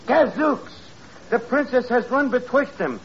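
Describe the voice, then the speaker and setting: A pompous, hot-tempered opera-spoof father, high-voiced and declaiming archaic words slowly. The sound is a muffled, noisy old radio comedy recording. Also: lively intonation. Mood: mock-heroic. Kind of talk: 1940s radio comedy acting.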